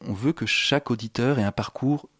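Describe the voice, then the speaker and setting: A man's voice: mid-range voice, fairly quick, fairly bright tone, husky, no echo, clean studio sound. A man explaining his sound installation in an interview, searching for his phrasing and repeating words as he goes.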